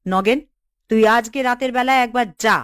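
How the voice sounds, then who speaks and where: A woman's voice: fairly high pitch, normal speed, fairly bright tone, clear, resonant tone, dry recording, studio quality, natural voice. An actress playing a bold, bossy village woman in a cartoon, assertive and scolding. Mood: scheming, stern. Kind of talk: Bengali cartoon dialogue.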